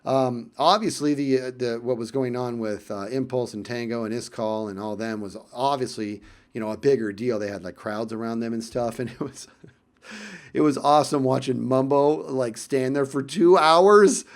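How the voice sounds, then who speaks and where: A man casually telling a personal story in vlog style, animated and emphatic, often repeating phrases for effect. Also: medium pitch, normal speed.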